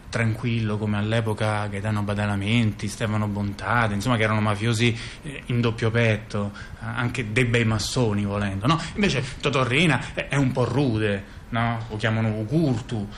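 A man with a mid-pitched voice performs a story as if making it up on the spot. He repeats words, restarts phrases and keeps checking in with short tag questions. There is some noise behind him. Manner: halting, repetitive, stammered restarts and frequent tag questions. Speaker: monologue performer in a two-person radio theatre dialogue. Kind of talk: improvised-sounding theatrical storytelling.

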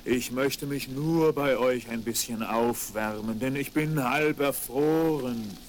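An actor playing a friendly big enchanted bear, speaking solemnly and reassuringly in a children's fairy-tale radio play. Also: male voice, mid-range voice, slow pace, slight background noise, close to an everyday voice. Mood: gentle, solemn.

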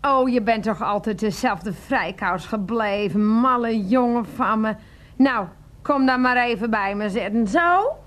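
A woman's voice: fairly high pitch, a little slow, natural voice. An actress in a radio play, playing a middle-aged aunt who dotes on her nephew and fusses and scolds with many exclamations. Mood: doting, chatty. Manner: exclamatory, fussing, chiding.

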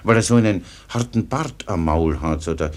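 An actor playing a talkative, sly and simple-minded soldier servant and dog dealer in a radio play, rambling ingenuously. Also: male voice, sits low, normal speed, a little mellow, lightly acted. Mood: eager rambling. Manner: rambling, folksy, ingenuous storytelling.

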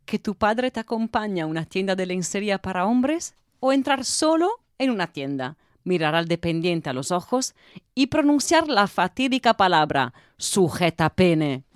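A woman's voice: mid-range voice, very animated, normal speed, clean tone. A woman with a mid-range voice serves as narrator and presenter. She speaks expressively, addressing her listeners as she goes.

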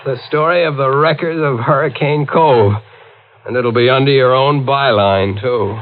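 An actor playing a tense, protective brother in 1940s radio drama, urgent, clipped and plain-spoken. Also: male voice, medium pitch, moderate pace, natural voice. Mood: grim, reassuring. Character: tense, protective brother caught in deadly danger. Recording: very noisy, muffled old radio recording.

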